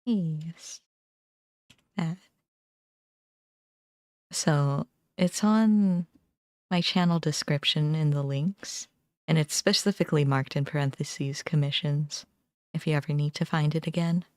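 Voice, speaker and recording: A young adult woman chatting casually on a livestream, musing informally in short, halting phrases. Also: deep voice, darkish tone, no echo, studio quality. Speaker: game streamer. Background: background music.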